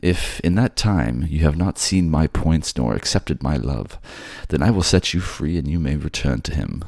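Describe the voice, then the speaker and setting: A man puts on a suave merman king for an audio romance. He is indulgent and courtly, with gentle teasing. The voice is low and gravelly, recorded close and dry. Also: expressive, moderate pace, no room sound, exaggerated voice. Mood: earnest, soothing. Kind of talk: romantic audio roleplay acting.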